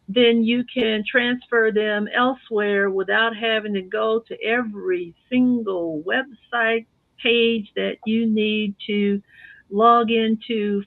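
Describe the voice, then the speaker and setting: A woman explaining computer tips on a talk show in a chatty, step-by-step way, heard over the phone. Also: on the high side. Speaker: tech segment guest. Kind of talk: casual explanation on talk radio. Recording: tinny phone line.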